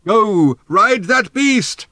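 A man voicing an old male village elder in a story, urgently shouting commands in drawn-out calls. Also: high voice, expressive, no echo, studio quality, pitched above the performer's own voice, exaggerated voice. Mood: triumphant urging.

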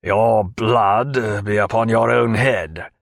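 A man reading as a rigid, offended naval officer, low and clipped. The voice is close and dry. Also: fairly even intonation, slow, creaky, no room sound, full character voice. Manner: stiff, clipped, formal. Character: stiff, formal first lieutenant.

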